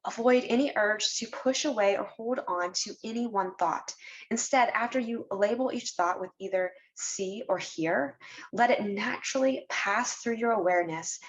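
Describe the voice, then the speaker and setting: A woman narrating a scripted guided meditation in a measured, instructional way, leaving deliberate pauses between phrases. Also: a little high, poor audio.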